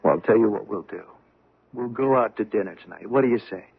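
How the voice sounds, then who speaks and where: A man's voice: lowish voice, fast talker, vocal fry, breathy edge. An actor playing a cheerful husband in his forties who talks in a chatty, stammering way, in a 1970s radio drama. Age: 40s. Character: cheerful, fussing husband and salesman. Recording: tinny old radio broadcast.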